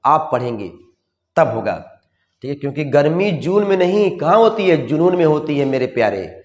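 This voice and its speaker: Hoarse male teacher in echoey room. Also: a little high, normal speed, dull audio. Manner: energetic, repeats tag questions, folksy coaching. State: slightly hoarse. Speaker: physics teacher. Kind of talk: exam revision lesson. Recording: roomy echo, long reverb.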